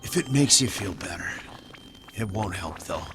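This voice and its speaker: An actor playing a shaken, fatalistic scientist in a radio drama, speaking haltingly and dropping into whispers. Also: male voice, low voice, lively intonation, over music. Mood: resigned, apologetic. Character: Bob, shaken male scientist locked out, fatalistic.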